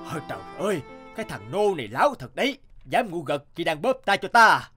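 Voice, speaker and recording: Cartoon king, fat, greedy and short-fused, bossy bluster, higher-pitched swooping delivery over music. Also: big pitch swings, quick pace. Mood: angry, commanding. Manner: imperious, blustering. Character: gluttonous, hot-tempered fat king. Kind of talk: animated folk-tale dubbing.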